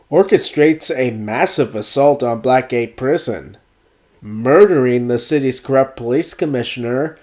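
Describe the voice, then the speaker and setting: A man's voice: medium pitch, a little mellow. Man with a cold narrating a review. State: recovering from a cold. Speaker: video essay host.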